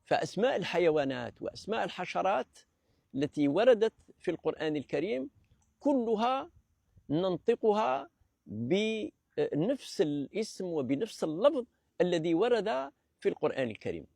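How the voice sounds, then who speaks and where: Man from Algeria, gravelly and a little high, lecturing in a hesitant, measured way; dry close recording. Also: sing-song, darkish tone, dry recording. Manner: hesitant, many fillers and restarts; measured, explanatory. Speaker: presenter of a language talk series. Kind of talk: unscripted lecture.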